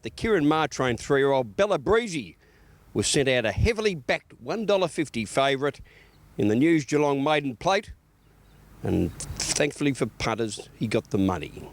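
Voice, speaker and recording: An Australian man delivers a scripted racing report. His voice sits at a middling pitch and is recorded dry, with no room around it. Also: expressive, moderate pace, no room sound. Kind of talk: scripted sports report narration.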